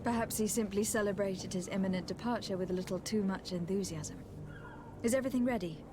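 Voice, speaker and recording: A woman's voice: medium pitch, brisk, noisy background, close to an everyday voice. An actress voicing a poised, courteous young noblewoman in a video game, formal and composed. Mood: composed, polite. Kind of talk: video game character acting.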